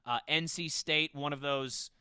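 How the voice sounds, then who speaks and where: A man with a bright, fairly high voice hosts a casual football podcast. He sounds crisp and conversational as he steers the talk, like someone leading a panel. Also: fairly even intonation, unhurried, no echo, studio quality. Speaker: podcast host.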